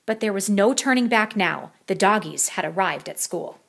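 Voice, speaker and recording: A woman narrating a children's storybook as an expressive bedtime read-aloud. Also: mid-range voice, moderate pace, gravelly.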